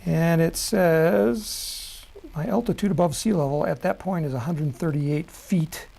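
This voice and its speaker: A middle-aged man from Chicago talks through a screen-share demo at his desk mic. His voice is mellow and gravelly. He rambles, corrects himself, and chuckles at his own slips. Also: a little high, unhurried. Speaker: rocketry software presenter.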